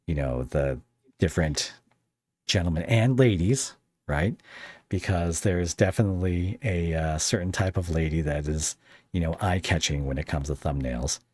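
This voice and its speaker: Low-voiced man, rambling podcast host. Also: darkish tone, husky, no echo, clean studio sound. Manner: self-deprecating, rambling. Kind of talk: casual conversational interview.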